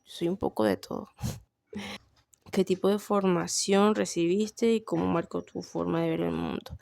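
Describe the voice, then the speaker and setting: A woman's voice: lowish voice, sing-song, a little slow, creaky, a little mellow, dry recording. A young woman with a Colombian Caribbean coast accent, chatting casually about herself and thinking aloud with frequent fillers. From Barranquilla, Colombia. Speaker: vlogger. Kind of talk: casual personal chat.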